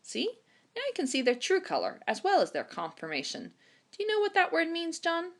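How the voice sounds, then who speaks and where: A woman voicing a kindly old grandfather who raises ponies, with an unhurried, gently teasing, dry manner. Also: extremely high pitch, huge pitch swings, pitched above the performer's own voice, exaggerated voice. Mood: gentle, instructive.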